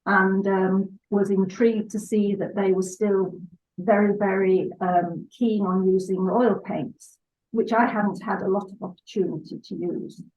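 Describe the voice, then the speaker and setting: An older woman from Salford, England, chatting as a guest in an unhurried way with hesitant fillers. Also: medium pitch, steady tone, a little mellow. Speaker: guest artist. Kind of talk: relaxed interview chat. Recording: dull lo-fi sound.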